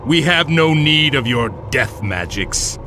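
An actor playing a wary, honorable samurai in a fantasy game, serious and grim in manner. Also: male voice, mid-range voice, expressive, slow pace. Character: wary, honorable male samurai warrior of Serpent clan. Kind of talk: video game cutscene acting. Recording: music behind the voice.

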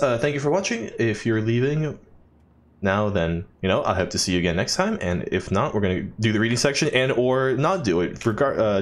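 A young man giving casual video game commentary as a let's play host, rambling with wry asides. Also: lowish voice.

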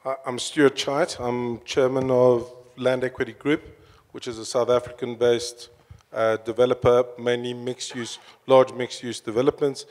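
A man's voice: mid-range voice, flat delivery, moderate pace, darkish tone. A businesslike man introducing himself as a panelist at a conference, speaking into a handheld mic. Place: large conference hall. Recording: long hall reverb.

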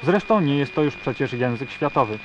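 A man playing an earnest radio announcer, reading the news in a formal, measured, serious manner. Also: mid-range voice, expressive, lo-fi sound, lightly acted. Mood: solemn, formal. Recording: very noisy, humming shortwave radio broadcast.

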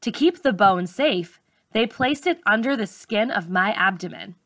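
A woman reading a memoir aloud like an audiobook narrator, with measured, clearly phrased delivery. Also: medium pitch, animated, fairly bright tone, no room sound, clean studio sound. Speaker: teacher reading a book aloud.

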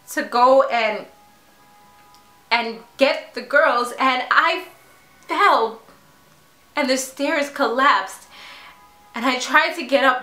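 A woman playing a grieving, defensive mother in a halting, emotional dramatic monologue addressed to a doctor. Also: high voice, pushed and bright, exaggerated voice. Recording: echoey room.

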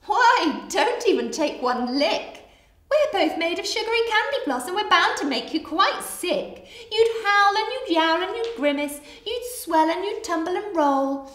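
A woman's voice: very high voice, sing-song, a little slow, a bit bright, pitched above the performer's own voice, exaggerated voice. A woman voicing teasing pink flamingos in a children's storybook, in a fluttery, sing-song manner. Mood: teasing, dramatic. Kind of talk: expressive children's storybook read-aloud. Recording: echoey room.

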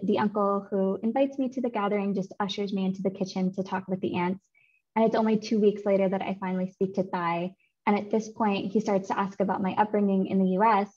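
A woman in her thirties giving a seminar talk on her research over a video call, in a clear, measured academic delivery. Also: medium pitch, dark tone. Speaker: seminar speaker, author presenting research. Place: home room. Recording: muffled video call audio.

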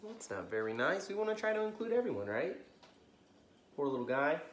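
An American man in his thirties hosting a children's story time, reading aloud expressively with gentle asides to young listeners. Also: a little high, sing-song, fairly quick, slight background noise. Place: living room, on a couch indoors. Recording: roomy, echoey home recording.

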